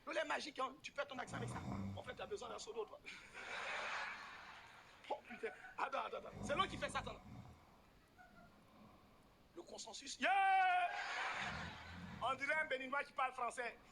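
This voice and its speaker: A man doing animated, exclamatory stand-up comedy, teasing a live audience from the stage. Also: very high voice, moderate pace, creaky, pauses often. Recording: noisy live stage recording with ambience.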